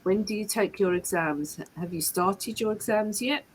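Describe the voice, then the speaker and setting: A woman's voice: mid-range voice, moderate pace, a little hiss or hum, poor audio. A woman from England, tutoring a learner one to one and repeating and rephrasing her questions patiently. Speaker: English tutor. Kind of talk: online one-to-one English lesson.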